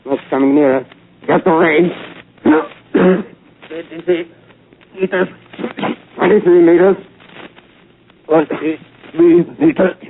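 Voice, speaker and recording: An actor playing a strained army gunner calling out haltingly in a 1930s radio drama. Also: male voice, on the high side, steady tone. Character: strained male gunner in a gas attack. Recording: tinny, lightly noisy 1938 radio recording.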